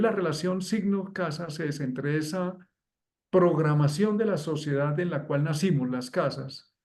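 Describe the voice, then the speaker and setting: A man with a fairly high voice gives a long explanation as a guest. He talks fluently and runs examples together in one flowing line of thought. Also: darkish tone. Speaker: guest astrologer. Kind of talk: conversational explanation.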